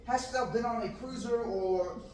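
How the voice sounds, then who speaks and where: A man's voice: extremely high pitch, unhurried, darkish tone, a little hiss or hum. A man reading an eager young farm boy in declamatory Shakespearean verse at an amateur table reading. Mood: yearning. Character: restless young farm boy dreaming of adventure. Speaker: table-read actor. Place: large classroom, read from a table. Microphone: far room camera. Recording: echoey room, distant mic.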